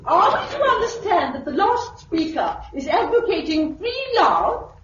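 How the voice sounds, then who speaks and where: Prim indignant british lady, super high voice, echoey old radio drama. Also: very animated, unhurried, exaggerated voice. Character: prim, indignant, moralistic woman objector at meeting. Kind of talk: 1950s radio drama acting, public challenge. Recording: muffled, echoey, noisy 1950s radio recording.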